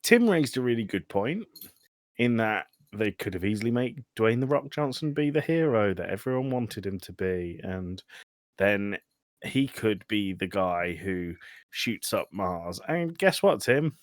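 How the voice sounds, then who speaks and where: Guy hosting a film chat, kinda low voice, dry wit, no room sound at all. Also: very animated, unhurried, dry recording. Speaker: podcast host steering the discussion. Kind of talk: casual film discussion.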